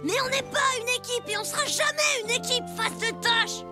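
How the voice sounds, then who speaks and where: An actor voicing a grumpy, stubborn child in an animated cartoon, whiny and sarcastic, always exclaiming and complaining. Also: very high-pitched, moderate pace. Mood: sullen. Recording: loud cartoon music behind the voice. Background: cartoon music.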